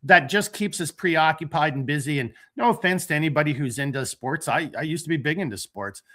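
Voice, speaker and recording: Bright-voiced man hosting a rambling chat. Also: a little high, brisk. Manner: rambling, animated, tells dad jokes. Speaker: podcast host. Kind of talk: casual late-night chat.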